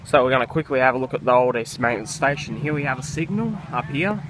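A young man, chatting casually while filming trains, a bit hoarse from a cold and apologetic, with hesitant restarts. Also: mid-range voice, fast. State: recovering from a cold, coughing. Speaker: train vlogger. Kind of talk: casual vlog narration. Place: outdoors by railway tracks. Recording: outdoor camera audio with clear background noise.

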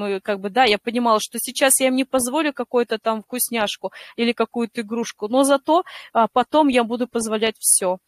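A hoarse woman in her thirties, earnestly telling her own story in an online voice chat, with many fillers and restarts. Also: on the high side, no room sound. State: hoarse today. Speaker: network-marketing member giving a testimonial. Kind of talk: emotional personal testimony in an online voice chat.

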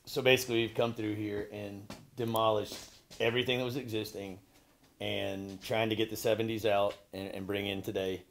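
A man in his forties, a building contractor, explaining a renovation in a relaxed, slightly halting way, repeating words and chuckling now and then, filmed by a camera a few steps away in a bare-framed basement. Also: fairly low pitch. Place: gutted basement under renovation, indoors. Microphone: far camera.

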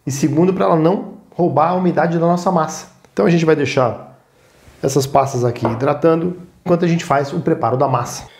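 A man's voice: a little high, fairly quick, vocal fry, a little mellow. A man with a Brazilian Portuguese accent hosting a casual step-by-step cooking demonstration, informal and instructive, often adding little check-in tags. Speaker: cooking show host.

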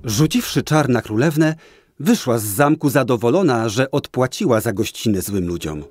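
A man narrates a storybook fairy tale. His delivery is very animated in pitch, with music playing behind him. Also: moderate pace.

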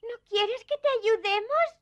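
An actress playing a gentle, polite and frail young girl in a dubbed children's cartoon, sweet and earnest. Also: very high-pitched, a little slow.

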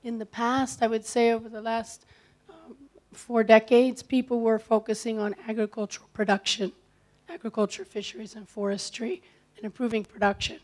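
Hoarse woman, conference panelist, throat-clearing, measured answer in a hall. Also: fairly high pitch, little pitch movement, darkish tone. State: hoarse from a cold.

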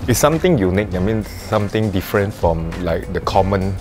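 A man in his forties talks casually across a restaurant table, relaxed and a little hesitant. His voice sits low. Faint music plays behind him. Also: a little fast. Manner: relaxed, hesitant, says "you know" often. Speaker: diner guest. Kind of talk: casual dinner conversation. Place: upscale restaurant dining room.

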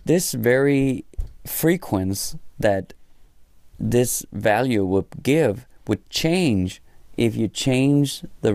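A man giving an informal hands-on tutorial as an instructor, thinking aloud in halting short phrases. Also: fairly low pitch, warm tone, no room sound, studio quality. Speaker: electronics instructor. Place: small indoor room at a workbench.

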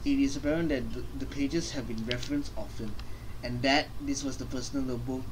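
A man's voice: mid-range voice, steady tone, fairly quick, noisy background. A young man, a gaming streamer, reading game text aloud and casually commenting as he plays. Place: indoor room. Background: game music.

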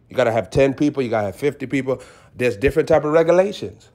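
Man, fairly high voice, prophetic preacher, impassioned exhortation, emphatic, repetitive short phrases. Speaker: preacher, self-described prophet.

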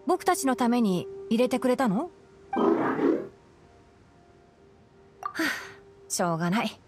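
Calm, studious young male forest ranger. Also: on the high side, loud music behind the voice. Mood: gentle. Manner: measured, articulate, gently admonishing. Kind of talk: Japanese video game voice acting.

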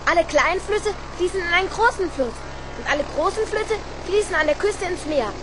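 Very high, lively boy inventor. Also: a little fast, background noise. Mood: eager, clever. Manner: eager, childlike, earnest. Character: bright young boy inventor, eager and curious. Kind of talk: 1970s children's radio play acting. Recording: loud vehicle drone behind.

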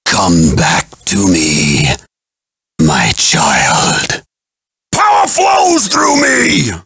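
An actor playing a cruel, menacing undead pirate in a fighting game, with a harsh, gravelly voice and theatrical delivery. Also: male voice, fairly low pitch, very animated, very slow, bright, forceful tone, no room sound, raised voice, exaggerated voice. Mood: cold contempt, then roaring fury. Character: undead male pirate villain, menacing, cruel, soul-hungry. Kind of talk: fighting game battle cries and taunts.

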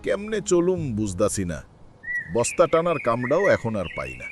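A man with a rural Bangladeshi accent playing a poor, ageing labourer and doting father in a cartoon, folksy and expressive. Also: medium pitch, normal speed, music in the background. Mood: weary. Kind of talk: cartoon voice acting. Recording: faint background noise with birdsong.

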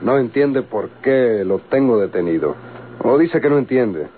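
Male actor for formal, firm Mexican legal official, average pitch, vintage radio serial with narrow sound. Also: animated, unhurried, natural voice. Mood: firm, cautioning. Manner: formal, authoritative. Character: firm, official male lawyer in charge of prisoner. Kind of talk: golden-age Mexican radio drama acting. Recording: tinny, noisy old radio recording.

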